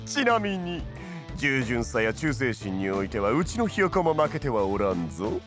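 A man's voice: medium pitch, very animated, moderate pace, over music, natural voice. Man playing a flamboyant, swooping, boastful lord. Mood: amused, boastful. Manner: theatrical, archaic old-man phrasing, boastful. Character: flamboyant, proud old-fashioned tea-master lord. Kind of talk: Japanese voice drama acting.

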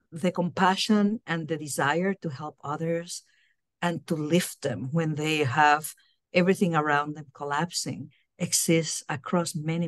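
A woman's voice: low-pitched, dry recording. Earnest middle-aged woman on a video call. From Puerto Rico. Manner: earnest, repeats words while searching for phrasing. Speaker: guest, university diversity director. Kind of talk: reflective interview answers. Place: small home room, indoors. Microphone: laptop webcam on video call.

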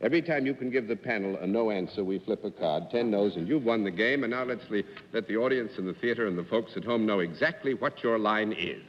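A man's voice: fairly low pitch, slight background noise. A middle-aged man moderating a live game show with teasing jokes, on a studio stage. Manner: playful, teasing, quick with jokes. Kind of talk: genial quiz-show moderating. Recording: dull lo-fi 1950s broadcast audio, long hall reverb, faint audience.

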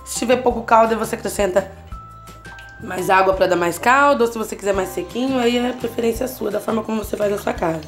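Brief: female cooking host, Brazilian Portuguese accent, higher voice, chatty and reassuring, faint music under her. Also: fast talker. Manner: chatty, constantly checking in with the viewer. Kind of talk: casual home cooking tutorial. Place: home kitchen, at the stove.

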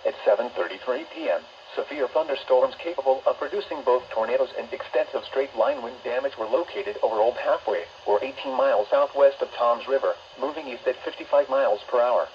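A synthetic man's voice with an American accent reads scripted emergency alerts as a weather radio announcer, in a flat, measured manner. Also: medium pitch. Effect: synthetic text-to-speech voice. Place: weather radio playback filmed up close indoors. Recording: muffled weather radio speaker, clear background noise.

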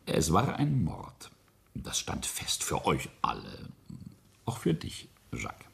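A sardonic family patriarch in a radio drama. His voice is very low and husky, swinging widely in pitch as he mocks and accuses, sometimes dropping toward a whisper. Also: huge pitch swings, a bit bright, full character voice. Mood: mocking accusation. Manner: sardonic, deliberate, with whispered drops. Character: menacing, mocking family patriarch and ambitious industrialist. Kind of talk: German radio play acting.

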